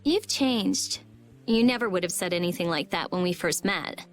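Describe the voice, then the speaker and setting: A woman's voice: fairly low pitch, lively intonation, normal speed, natural voice. A woman voicing a blunt, awkward and defensive young female researcher in an anime game dub. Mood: shy, defensive. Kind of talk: English-dubbed anime game voice acting. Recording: music under the voice. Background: music.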